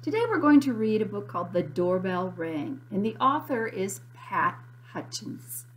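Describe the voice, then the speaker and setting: A woman reading a picture book aloud as a preschool teacher, expressive and deliberate for young listeners. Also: medium pitch, a little hiss or hum. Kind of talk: slow, expressive children's story reading.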